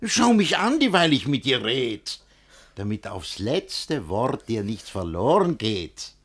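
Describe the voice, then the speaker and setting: An actor playing a domineering, scheming, jealous older guardian in a verse comedy radio play, speaking in a theatrical, lecturing way with a husky voice. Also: male voice, mid-range voice, very animated, slow pace, heavily acted. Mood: smug, lecturing.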